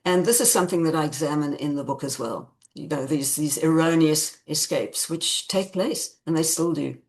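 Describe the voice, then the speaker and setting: A woman author answering interview questions in a conversational, measured and explanatory way, repeating phrases for emphasis. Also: sits low, a little mellow. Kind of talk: conversational interview answers about own book.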